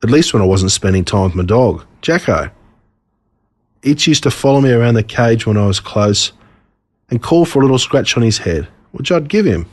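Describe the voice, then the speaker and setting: An Australian man reading his own humorous stories as scripted narration, in an expressive, wry way. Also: mid-range voice, moderate pace, breathy edge.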